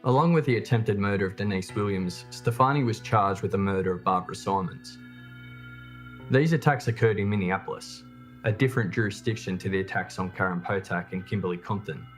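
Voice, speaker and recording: Low-pitched man narrating true crime. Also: faint music behind. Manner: measured, matter-of-fact storytelling. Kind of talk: scripted documentary narration.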